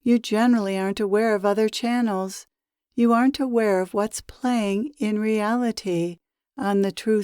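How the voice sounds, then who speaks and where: A woman leading a guided meditation as a spiritual teacher, with measured, deliberate phrasing. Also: medium pitch, darkish tone, clean tone, no echo, clean studio sound. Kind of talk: guided meditation, spoken as a channeled message.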